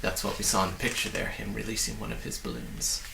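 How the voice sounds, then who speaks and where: A man reading a children's story aloud in an expressive, deliberate way, with friendly asides to the listener. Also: low-pitched, vocal fry, echoey room, background noise. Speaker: storybook reader.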